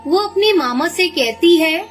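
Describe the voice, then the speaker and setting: A woman narrates a scripted children's tale. Her voice is extremely high. Faint music plays behind her. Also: lively intonation, a little slow. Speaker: story narrator.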